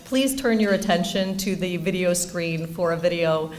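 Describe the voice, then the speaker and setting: Woman, lowish voice, award presenter, informal ceremony speech, enthusiastic, anecdotal, slight background noise. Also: moderate pace, darkish tone.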